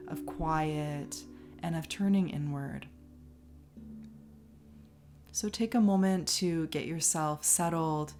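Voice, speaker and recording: A woman leading a guided relaxation as a yoga teacher, calm and soothing, leaving long pauses between phrases. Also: low voice. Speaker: yoga nidra instructor. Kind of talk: guided meditation, relaxation instruction. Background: music behind the voice.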